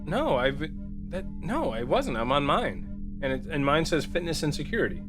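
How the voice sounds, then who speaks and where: A man's voice: fairly high pitch, very animated, normal speed. A man playing a tabletop roleplaying game, chatting casually in a questioning, argumentative way. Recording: background music under the voice.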